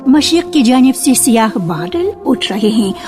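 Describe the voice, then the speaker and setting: A woman narrating a radio drama from a script in a measured storytelling manner. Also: a little high, very animated. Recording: archival radio with faint music.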